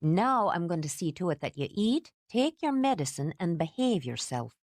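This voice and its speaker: A woman's voice: lowish voice, very animated, moderate pace, a little mellow, no echo, studio quality. A woman voicing a stern, bossy older housekeeper who scolds and lectures out of fierce fondness. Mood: brisk.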